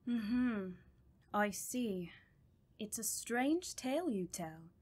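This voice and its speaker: A woman playing a grave, scholarly doctor of the supernatural in an audio drama, with a formal, deliberate and ominous manner. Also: fairly high pitch, lively intonation, darkish tone, slightly rough, exaggerated voice. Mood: formal, measured.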